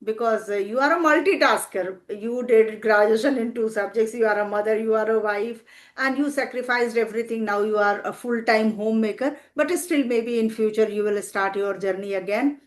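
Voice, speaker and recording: An older woman, a retired teacher, interviewing a guest in a slow, encouraging conversational style with long framing questions. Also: a little high. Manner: thoughtful, pauses with "uh", asks long framing questions. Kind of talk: slow, encouraging conversation in English as a second language.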